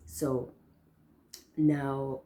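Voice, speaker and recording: A hoarse young woman talks to her viewers in a loose, rambling vlog style, full of fillers and restarts. Her voice is very deep and mellow. The room has a roomy echo with a faint hum behind it. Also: little pitch movement, very slow. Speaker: vlogger host. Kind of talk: casual talk to camera. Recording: echoey room.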